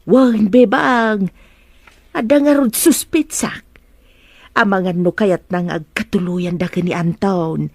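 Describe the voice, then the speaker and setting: A woman playing an excitable, gossiping household helper in a melodrama, chatty, exclamatory and insinuating. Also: medium pitch, slow pace, no room sound. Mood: gossipy. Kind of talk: Ilocano melodrama acting.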